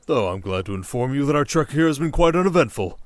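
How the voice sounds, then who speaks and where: A man playing a steady, protective desert king in an ASMR audio drama, speaking in a measured, intimate way. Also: mid-range voice, no echo, close to an everyday voice. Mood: coolly defiant.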